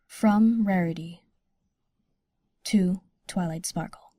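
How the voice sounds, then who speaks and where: A woman narrates scripted headings slowly in a mellow, medium-pitched voice. Her tone is husky and the recording is dry. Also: no room sound. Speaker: announcer of letter headings.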